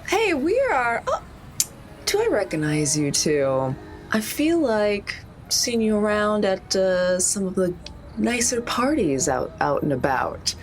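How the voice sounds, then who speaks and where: A woman playing a glamorous, smooth-talking celebrity artist in a tabletop roleplay, charming, theatrical and a little condescending. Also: medium pitch, big pitch swings, takes it slowly, faint music behind, voice pushed up. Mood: breezy charm. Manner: gushing, theatrical.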